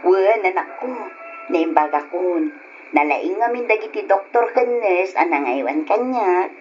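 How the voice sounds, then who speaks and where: A woman's voice: on the low side, animated, unhurried, darkish tone, faint music behind. A woman playing a loving, apologetic, ailing middle-aged mother in an old radio drama, with an Ilocano accent. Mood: grateful, relieved. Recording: dull noisy old radio recording.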